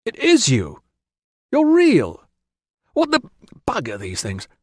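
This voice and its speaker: A chained Roman soldier, urgent and pleading as he insists on his own sanity, voiced by a male narrator. His voice is pushed well above the reader's natural pitch and loud, in a dry, close recording. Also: huge pitch swings, moderate pace, dry recording. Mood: desperate.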